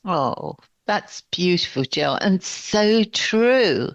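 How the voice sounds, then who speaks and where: A woman's voice: fairly low pitch, animated, drawn-out words, dry recording. An older woman hosting a podcast interview, affirming her guest and asking questions, on a slightly dull, dry recording. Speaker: podcast host, psychotherapist coach.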